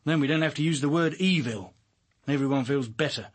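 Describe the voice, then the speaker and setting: An actor in a radio drama playing a world-weary, driven senior detective with a dry, blunt, commanding manner. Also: male voice, on the high side, brisk, lightly acted. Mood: sardonic, musing.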